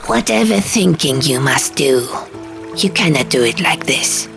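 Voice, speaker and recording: A woman's voice: sits low, lively intonation, a little slow, gravelly, fairly bright tone, exaggerated voice. A woman voicing a fussy, childlike male gargoyle with pompous, archaic, theatrical phrasing in a storybook audiobook. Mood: concerned. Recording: music behind.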